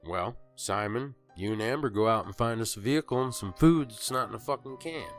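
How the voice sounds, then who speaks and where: A man's voice: medium pitch, brisk, soft background music, close to an everyday voice. A man playing a cocky, foul-mouthed young ladies' man in an audio story. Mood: bossy. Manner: blunt, cocky. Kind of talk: audio drama dialogue.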